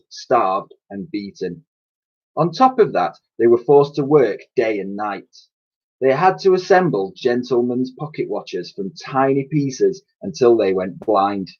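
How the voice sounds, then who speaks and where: A man in his thirties reading a children's storybook aloud in an expressive, explanatory way, like a teacher to pupils. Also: mid-range voice. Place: small attic room with sloped ceiling, indoors. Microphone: webcam or laptop mic at arm's length. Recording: dull, lo-fi webcam sound.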